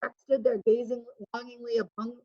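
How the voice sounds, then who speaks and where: A woman's voice: fairly high pitch, flat delivery, brisk. A woman reading a rhyming bedtime story expressively, as a storyteller and co-host. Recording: dull lo-fi call audio.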